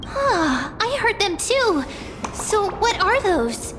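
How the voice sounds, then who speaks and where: A woman voicing a tiny, innocent, curious and excitable childlike companion in a fantasy game, cute and wide-eyed. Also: very high voice, takes it slowly, noisy background, heavily acted. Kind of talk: scripted fantasy game dialogue. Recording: music behind the voice.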